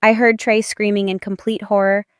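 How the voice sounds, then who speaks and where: A woman narrates a romance audiobook in a dramatic first-person style. Her voice sits at a medium pitch and is smooth and crisp. The recording is close and dry, with no room sound. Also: flat delivery, normal speed, dry recording.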